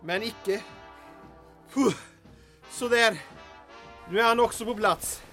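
An actress playing a worried, sardonic, practical sidekick in a comic audio drama, complaining wryly. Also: female voice, a little high, fairly bright tone, soft background music, natural voice. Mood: wry, doubtful. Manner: wry, complaining. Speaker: actor in audio drama serial. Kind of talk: comic radio-play acting.

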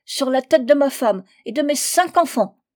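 A desperate drunken man pleads from the bottom of a well in a storybook tale, acted by a woman narrator. The voice is pushed high and strained with stammers and whining pleas. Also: lively intonation, normal speed, a little mellow. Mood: eager, desperate.

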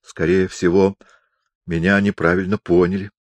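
A man's voice: low-pitched, level tone, no room sound. Man voicing a flustered, hesitant young student. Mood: hesitant, mumbling. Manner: halting, desperate protests. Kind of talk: audiobook character voice.

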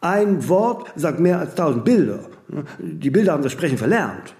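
An actor playing a vain, ageing celebrity in a radio play, imperious, aloof and rambling in aphorisms with little tag questions. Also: male voice, on the high side, very animated, moderate pace, a little mellow. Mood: musing, pontificating. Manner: haughty, rambling, aphoristic, with clipped tag questions and self-corrections. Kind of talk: radio play monologue in dialogue.

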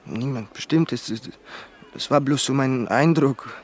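An actor playing a reluctant, vague, hesitant witness in a German radio crime drama. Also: male voice, medium pitch, a little slow, a little hiss or hum, natural voice. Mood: evasive. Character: evasive, reluctant male witness gossiping to police.

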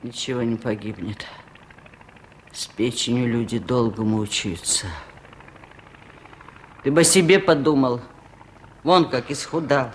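An older actress in a Soviet radio play, playing a wise, stubborn old village aunt with a wry, chiding manner. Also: female voice, very deep voice, unhurried, pauses often, slight background noise.

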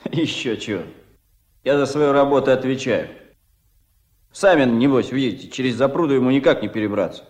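A male actor as a stubborn, self-assured working man in a Soviet radio drama. His voice sits at a middle pitch, and his speech is blunt, colloquial and proud. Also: moderate pace, long pauses, darkish tone, lightly acted. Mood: indignant. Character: proud, stubborn, plain-spoken itinerant dam builder, working man. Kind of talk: Soviet radio play acting.

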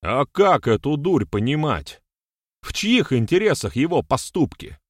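A man's voice: medium pitch, sing-song, unhurried, no room sound, studio quality, voice pushed up. A man voicing a hot-tempered, defiant warrior-sorcerer in an audiobook, declamatory and sarcastic. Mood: furious, accusing.

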